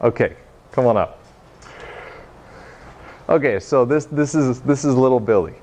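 A young man giving an informal technical demo to a room, speaking haltingly with frequent pauses. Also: medium pitch, darkish tone. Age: young adult. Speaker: presenter giving a software demo. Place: meeting room, standing by projection screen. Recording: noisy, muffled room recording.

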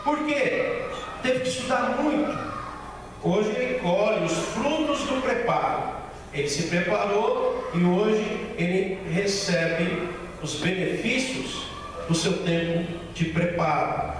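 Brazilian male pastor, high voice, slow emphatic delivery, recorded from far off in a big echoey church hall. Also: noisy background. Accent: Brazilian Portuguese. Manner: slow, emphatic, repeats key phrases. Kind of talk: sermon. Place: large bare church hall with a small stage. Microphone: handheld mic, filmed by far camera.

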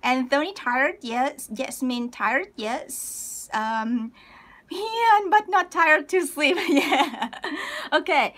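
High-voiced, cheerful woman teaching live. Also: animated, fairly bright tone. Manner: cheerful, laughs often, spells out words slowly. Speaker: Thai language teacher. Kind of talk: live-streamed lesson reading viewer comments. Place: small room indoors, plain wall.

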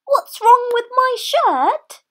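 A woman's voice: sing-song, slow pace, exaggerated voice. A woman voicing a naive, sweet little girl pig in an amateur cartoon. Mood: innocent. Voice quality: high squeaky child voice.

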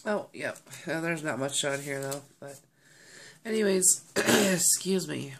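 A woman in her thirties chatting casually to her webcam, sounding nasal and stuffy from a cold, rambling with many ums and pauses. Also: low voice, a little hiss or hum. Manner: rambling, friendly, with many ums and throat clearing. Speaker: vlogger. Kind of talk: casual chat to camera. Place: small home office, indoors. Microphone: webcam at desk.

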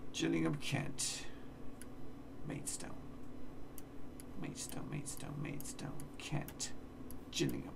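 Gravelly English man muttering game commentary. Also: a little high, slow, pauses often, distant mic, background noise. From England. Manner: mutters place names to himself, swears playfully. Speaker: gaming streamer. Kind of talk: casual live game commentary. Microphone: desk mic, seen in webcam corner.

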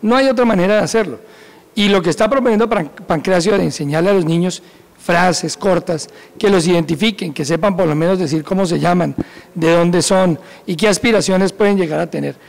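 A man in his forties, a police school director, calmly explaining an educational point in an office. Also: high-pitched, fairly quick, creaky. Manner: calm, instructive, laughs easily. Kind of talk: educational segment talk. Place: indoor office with bookshelves. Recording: echoey room.

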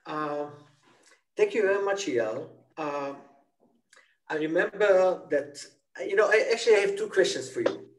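A man's voice: fairly high pitch, expressive, unhurried, a little hiss or hum, poor audio. Older man moderating a video panel. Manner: friendly, joking, with fillers. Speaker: interviewer and moderator. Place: office with a banner. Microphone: laptop video call.

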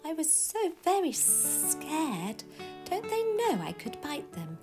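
A woman voicing a small, gentle, frightened adder snake in a children's storybook, with drawn-out speech. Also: sits high, wildly swooping pitch, music in the background, pitched above the performer's own voice, heavily acted. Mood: plaintive, frightened.

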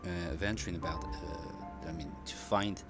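A man giving casual, rambling game commentary as a streamer, with a hesitant, halting delivery. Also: sits low, steady tone, creaky. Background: loud game music.

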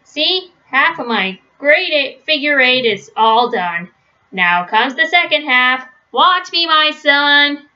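Proud, bossy father bear, female reader, storybook; emphatic, bright, high-pitched, lo-fi sound. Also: lively intonation, takes it slowly, exaggerated voice. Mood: proud, instructive. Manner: showy, instructing, emphatic. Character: boastful, overconfident father bear teaching his son. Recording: dull, lo-fi webcam sound.

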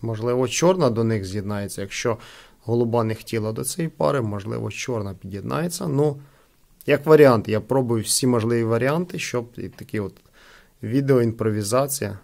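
A man with a creaky voice giving casual unboxing and product testing commentary as a host. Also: medium pitch, normal speed, darkish tone. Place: indoors at a wooden table.

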